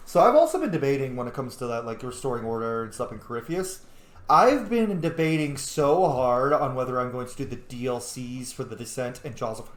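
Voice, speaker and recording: A man doing casual gameplay commentary as a streamer, chatty and playful, often correcting himself. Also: mid-range voice. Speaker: video game streamer. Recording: echoey room.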